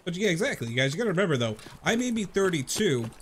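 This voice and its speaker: A man's voice: sits high, big pitch swings, quick pace, a bit bright, slight background noise. A man in his thirties chatting casually to viewers as he plays a game, rambling and repeating himself in an informal way. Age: 30s. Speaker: video game livestream host.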